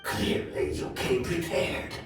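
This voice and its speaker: A man voicing a gloating, cunning nightmare monster in a video game, with slow, theatrical villainy. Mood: gloating, menacing. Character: gloating male nightmare monster, ancient cunning arch-enemy. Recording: cavernous echo, distant, music behind. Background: game music.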